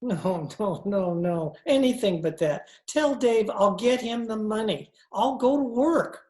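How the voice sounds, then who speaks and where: A man's voice: high-pitched, measured pace, a little mellow. Man playing pompous, nervous judge, roomy echo. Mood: appalled, protesting. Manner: pompous, fussy, theatrical speech. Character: shabby, cultured, nervous old judge turned pool hustler. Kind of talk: old-time radio comedy acting. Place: indoor room, recorded over video call. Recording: roomy, echoing video-call recording.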